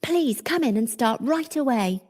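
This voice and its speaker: A woman's voice: fairly high pitch, expressive, a little slow, darkish tone, no echo, clean studio sound. A woman playing a young fairy-tale girl in a cartoon story for children. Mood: grateful. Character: worried, helpless young girl given an impossible task. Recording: music under the voice.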